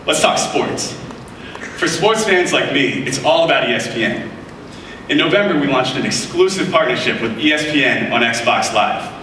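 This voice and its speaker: A man's voice: mid-range voice, sharp tone, recorded from a distance. Young guy doing an upbeat stage demo, echoey hall, crowd noise behind. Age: young adult. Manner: upbeat, enthusiastic presenter. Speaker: product demonstrator. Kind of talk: scripted live product demo. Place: large keynote stage in front of a screen. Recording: echoey hall audio picked up from afar.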